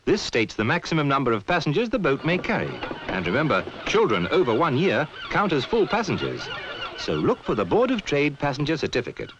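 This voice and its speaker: Animated British storytelling narrator, muffled old film. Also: male voice, on the high side, fairly quick. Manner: storytelling, instructive. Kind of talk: 1970s British public information film narration. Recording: muffled, noisy 1970s film soundtrack.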